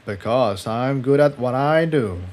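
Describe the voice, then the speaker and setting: A man's voice: medium pitch, animated, a little slow, darkish tone, lightly acted. A man voicing a skilled, grave male pony magician in a story reading, with a hesitant manner that repeats words. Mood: self-assured.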